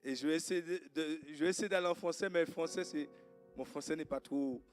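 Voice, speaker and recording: A man with a Guadeloupean accent and Creole as his first language speaks to a church congregation before his sermon. His voice sits a little high for a man, and he talks in halting bursts full of fillers and restarts. Soft music plays faintly behind him. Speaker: guest preacher. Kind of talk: informal welcome before a sermon. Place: church service.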